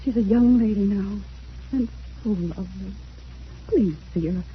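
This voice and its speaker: A woman's voice: mid-range voice, a little slow, natural voice. An actress playing an ailing, remorseful mother who pleads melodramatically in an old radio drama. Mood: pleading. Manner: pleading, melodramatic, self-reproachful. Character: ailing, remorseful, desperate mother pleading for her grown daughter. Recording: dull, noisy vintage radio recording.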